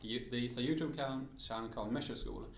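A man's voice: mid-range voice, fast talker, slight background noise. Eager man on a panel, echoey. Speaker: panelist, digital strategist. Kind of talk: panel discussion.

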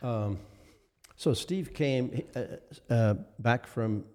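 An older man, a minister, recalling ministry history in a casual interview, speaking haltingly and repeating phrases for weight. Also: medium pitch, mellow. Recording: long reverberant hall echo.

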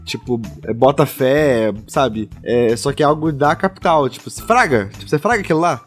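A man's voice: medium pitch, moderate pace. A man with a mineiro accent from Belo Horizonte, chatting casually on a podcast and explaining things in slangy speech. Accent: Belo Horizonte mineiro Brazilian. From Minas Gerais, Brazil. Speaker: podcast panelist. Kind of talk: casual group chat. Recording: music under the voice.

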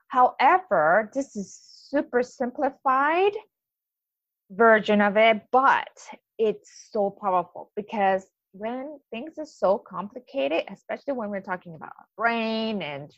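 A woman's voice: a little high, dry recording, dull audio. A woman in her forties from Japan with a Japanese accent, giving a coaching webinar with many hesitations and eager asides. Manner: hesitant, many ums, enthusiastic. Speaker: life coach presenter. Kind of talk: webinar presentation.

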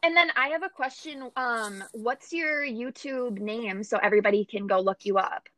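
An American woman hosting a casual podcast. Her voice is fairly high and very bright, recorded dry without room sound. She asks questions in an informal, encouraging manner. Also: moderate pace, no room sound, low-quality recording. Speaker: podcast host. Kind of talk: casual chat.

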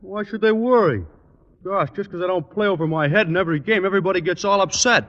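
An actor playing a slow-witted, lanky teenage football player who talks ungrammatically and mangles words, in a 1940s radio sitcom. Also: male voice, high voice, lo-fi sound, lightly acted. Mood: dopey, sheepish. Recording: noisy old radio broadcast.

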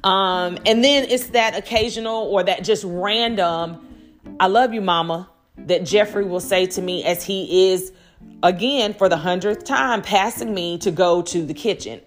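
Low-pitched woman, chatty podcast host. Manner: chatty, animated storytelling with quoted asides. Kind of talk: conversational solo podcast monologue.

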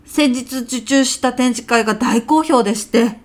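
High-voiced, deferential female office worker played by an actress. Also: a little slow, natural voice. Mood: polite, businesslike. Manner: polite, businesslike, deferential. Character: dutiful office employee woman briefing her boss, hay fever sneezes. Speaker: former stage troupe actress. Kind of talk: scripted comedic audio drama acting.

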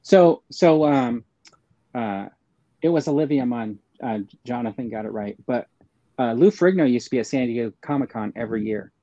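A man telling anecdotes in casual conversation, hesitant and halting, on a slightly dull lo-fi recording. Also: medium pitch, lively intonation, dark tone, no echo. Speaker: panelist on a fan livestream.

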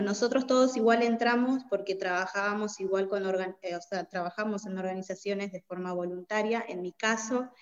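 A woman's voice: medium pitch, darkish tone, muffled recording. A woman from Bolivia speaking as a podcast guest, explaining her work conversationally and checking in with little tag questions. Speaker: podcast guest, migration social worker. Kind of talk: conversational interview answers.

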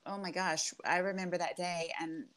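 A woman hosting an interview podcast, talking in an effusive way and quick to exclaim. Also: lowish voice, steady tone, fairly bright tone. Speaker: podcast host. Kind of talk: casual interview chat.

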